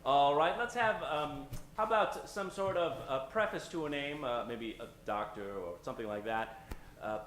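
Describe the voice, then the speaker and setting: A man's voice: fairly high pitch, normal speed, background noise. A man emceeing a live improv show on stage in a playful, theatrical manner. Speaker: improv show emcee taking audience suggestions. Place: stage before a live audience. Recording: roomy live stage recording.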